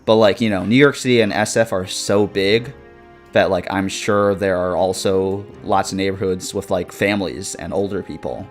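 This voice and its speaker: Low-voiced guy in his 20s streaming, rambly and sweary, little bit of music in the back. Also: steady tone, moderate pace. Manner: rambling, swearing, frequent laughs and fillers. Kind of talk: casual live-stream chat reading viewer comments.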